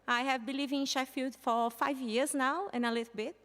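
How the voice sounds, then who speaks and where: A woman's voice: sits high, fairly even intonation, fairly quick, fairly bright tone. A woman from Brazil whose first language is Portuguese, with a Brazilian accent, in her thirties, telling her story live to an audience in a chatty way. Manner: chatty, laughs between lines. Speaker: guest speaker. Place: live venue stage with audience. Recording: long hall reverb.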